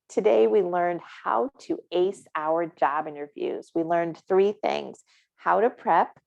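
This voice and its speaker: A woman's voice: fairly low pitch, creaky, lo-fi sound. A woman presenting a career workshop in an encouraging, upbeat way. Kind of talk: online career workshop talk.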